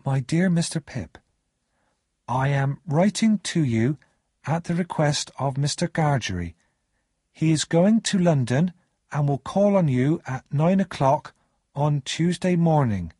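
A man voicing a polite, humble young woman in a storybook, gently and slowly reading out her letter. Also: a little high, animated, husky, dry recording, studio quality, pitched above the performer's own voice. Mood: polite, earnest.

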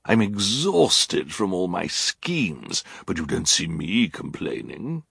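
Gravelly, drawling, sneering villain. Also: male voice, sits low, big pitch swings, takes it slowly, fairly bright tone, no echo, clean studio sound, heavily acted. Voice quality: growling, gravelly. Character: arrogant, menacing adult male villain, boastful and scornful.

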